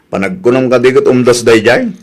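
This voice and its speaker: A man's voice: medium pitch, fairly quick, close to an everyday voice. A man playing a sceptical, grumbling husband in an Ilocano comedy radio drama, speaking with an Ilocano Filipino accent. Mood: doubtful, resigned.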